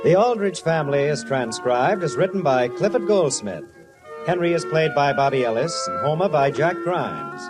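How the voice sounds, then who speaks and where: A man's voice: fairly high pitch, sing-song, normal speed. A man giving a scripted, emphatic, polished announcement in 1950s radio style. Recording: vintage radio broadcast with music underneath. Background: music.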